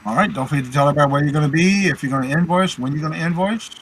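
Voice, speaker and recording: Brisk, fast-talking man hosting. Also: fairly high pitch, fairly bright tone, husky, poor audio. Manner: brisk, directive. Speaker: auction show host.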